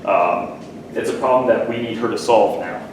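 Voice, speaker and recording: A man's voice: mid-range voice, moderate pace. A man presenting a workshop, talking casually to the class and checking in with the listeners. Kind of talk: casual teaching talk to a class. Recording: echoey room, distant mic, background noise.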